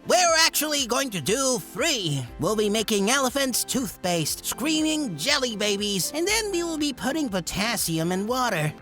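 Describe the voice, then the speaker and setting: Quirky cartoon chem teacher, highish bouncy voice, lecturing, music behind. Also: female voice, very animated, moderate pace, hardly any pauses, a bit bright. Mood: brisk. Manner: lecturing, playful. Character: quirky, cheerful, encouraging chemistry teacher.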